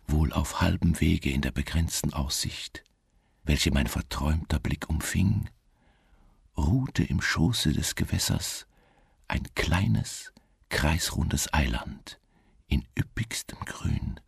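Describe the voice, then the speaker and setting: An actor playing a solitary, dreamy wanderer who recounts a melancholy gothic tale in a measured, hushed, gravelly voice for a radio drama. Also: male voice, a bass voice, no room sound, clean studio sound, full character voice. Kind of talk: slow literary radio-drama narration.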